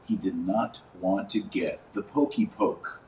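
A man's voice: low voice, measured pace, a little mellow, reverberant, distant mic, a little hiss or hum, low-quality recording. A man hosting a religious commentary podcast, speaking emphatically and indignantly, with rhetorical questions and sarcastic asides.

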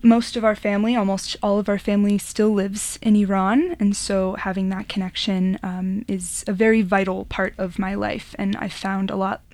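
A woman interview guest speaking politely and in a measured way, with some fillers. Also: medium pitch, level tone, darkish tone, no room sound. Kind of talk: radio interview answer.

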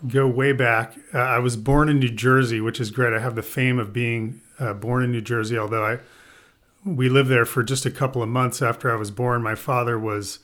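A man who grew up in the Midwest, a winemaker talking as a podcast guest in a relaxed, rambling way. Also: medium pitch, normal speed. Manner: relaxed, rambling, with fillers and laughs. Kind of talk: casual interview chat.

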